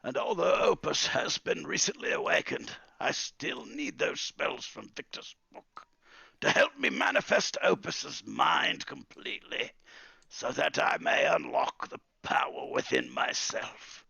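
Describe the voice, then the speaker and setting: A brooding old wizard for a fantasy tabletop game. His voice is creaky and swoops high, drawing words out with theatrical menace and sorrow. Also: lively intonation, sharp tone, dry recording, highs cut off, full character voice. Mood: yearning, grand. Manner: theatrical, drawn-out, swinging between cries and hisses.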